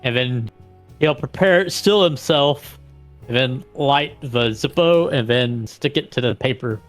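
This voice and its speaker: A man's voice: mid-range voice, unhurried. A man with a mid-range voice plays a tabletop role-playing game. He casually narrates his character's actions over faint background music.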